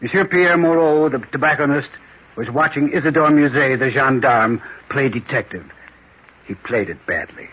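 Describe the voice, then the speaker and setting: Male actor as formal detective narrator, husky mid-pitched voice, dry, condescending, measured, radio drama, muffled old recording. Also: close to an everyday voice. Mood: wry, storytelling. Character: composed, wry male French detective.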